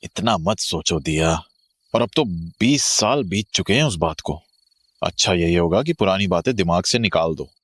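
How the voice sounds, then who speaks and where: Actor as an easygoing husband, animated delivery. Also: male voice, deep voice, moderate pace, no room sound. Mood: reassuring. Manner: conversational, reassuring, Hindi mixed with English. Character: reassuring, easygoing adult husband. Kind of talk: audio horror drama dialogue.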